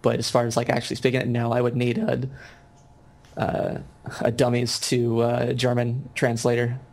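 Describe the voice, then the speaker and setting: A man's voice: mid-range voice, monotone, normal speed, vocal fry, darkish tone. A young American man, a video game designer, chatting casually in an interview with many ums and restarts. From United States.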